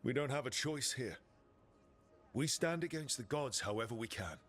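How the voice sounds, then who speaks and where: A man's voice: medium pitch, animated, moderate pace, a bit bright. A man playing a resolute, plain-spoken heroic leader in a video game. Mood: determined. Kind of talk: video game dramatic acting. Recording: game music under the voice.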